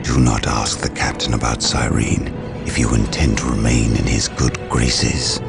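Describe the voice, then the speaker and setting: An actor playing an ominous, stern psychic warrior-librarian in a video game, speaking formally and with menace. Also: male voice, rumbling low, flat delivery, unhurried, vocal fry, loud music behind the voice, heavily acted. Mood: menacing. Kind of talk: dramatic video game acting.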